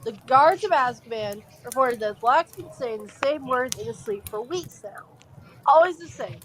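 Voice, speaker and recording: Woman as worried wizard dad, high-pitched and earnest, insistent but hesitant, audio drama, faint noise behind. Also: lively intonation, moderate pace, fairly bright tone, rough recording. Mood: urgent, insistent. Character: worried, earnest middle-aged father and ministry wizard. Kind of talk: fan audio drama acting.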